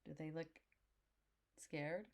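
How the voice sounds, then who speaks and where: A woman with a low voice reads a picture book to young children. Her narration is expressive and simple, broken by short explaining asides to the listeners. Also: slow pace, slight background noise. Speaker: storybook reader.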